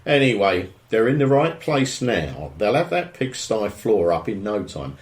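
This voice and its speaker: Male actor for opinionated British husband, audio drama: lowish voice, very varied intonation, grumbling and morbidly matter-of-fact. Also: very animated, unhurried. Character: grumbling, nosy suburban husband with a morbid streak. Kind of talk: audio drama dialogue, naturalistic.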